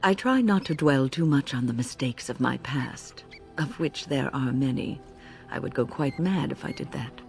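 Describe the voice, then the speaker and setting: An actor playing a reflective, regretful companion in a fantasy video game, with a creaky voice. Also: male voice, mid-range voice, lively intonation, moderate pace. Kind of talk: video game character dialogue. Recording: music behind the voice.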